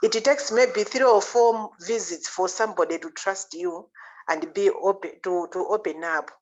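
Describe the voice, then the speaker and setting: A woman health worker speaking in a measured, slightly hesitant way on a panel call. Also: medium pitch. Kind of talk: panel discussion on video call. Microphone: video call. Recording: dull video call audio.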